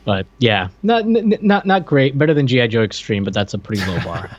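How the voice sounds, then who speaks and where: Male co-host, animated intonation, casual review banter, close dry sound. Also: mid-range voice, a little fast, dry recording, highs cut off. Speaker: podcast co-host.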